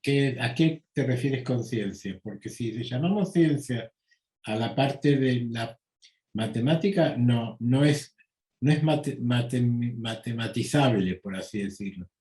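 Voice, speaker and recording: An older man with an Argentine Spanish accent, a psychoanalyst answering interview questions slowly and deliberately, with frequent pauses and fillers. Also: medium pitch, mellow, echoey room. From United States. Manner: slow, measured, with many pauses and fillers. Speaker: psychoanalyst guest.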